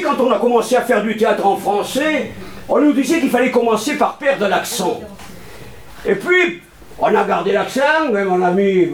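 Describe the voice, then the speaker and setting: A man with a sunny Southern French accent holds forth on theatre, his voice high and bright in pitch. He talks animatedly and theatrically, often swelling into booming declamation. The sound carries the echo of a reverberant room. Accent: Southern French, Montpellier. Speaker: theatre teacher. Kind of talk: lively talk on theatre to a group.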